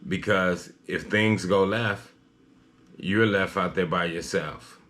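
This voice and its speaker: Male news commentator, low-pitched, slightly halting read, personal opinion style. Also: fairly bright tone.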